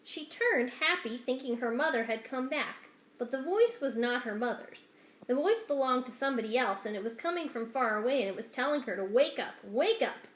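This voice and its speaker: A woman narrates a children's book expressively from the page. Her voice sits on the high side. The recording has a strong room echo. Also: expressive, brisk. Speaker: children's story reader. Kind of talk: expressive scripted storybook narration. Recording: echoey room.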